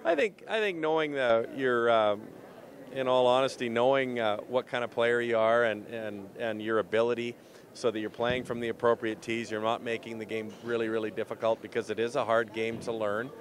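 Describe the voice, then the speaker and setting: A man's voice: mid-range voice, fairly even intonation, moderate pace. A middle-aged man giving a spontaneous answer to a reporter at a trade show. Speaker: interviewee. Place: indoor trade show hall. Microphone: handheld reporter mic.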